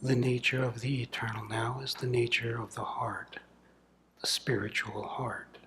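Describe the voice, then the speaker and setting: A man leading a guided meditation as a spiritual teacher, speaking softly and deliberately in measured phrases with long pauses, often breathy and trailing toward a whisper. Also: medium pitch, little pitch movement, rough recording. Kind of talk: guided meditation, contemplative satsang talk.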